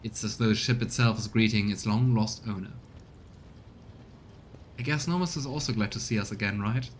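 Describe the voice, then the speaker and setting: A man doing a voiced let's play, reading game text expressively and reacting to it as a streamer. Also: moderate pace. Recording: low rumbling background noise.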